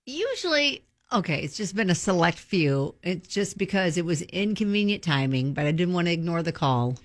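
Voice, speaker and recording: A woman's voice: lowish voice, sing-song, normal speed, no room sound, studio quality. A woman co-hosting a radio show, casually bantering, reacting to her partner and putting questions to him.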